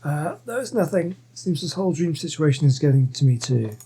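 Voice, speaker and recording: A man's voice: on the high side, sing-song, brisk. A man giving casual let's-play commentary, rambling and thinking aloud as he goes. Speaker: gaming streamer.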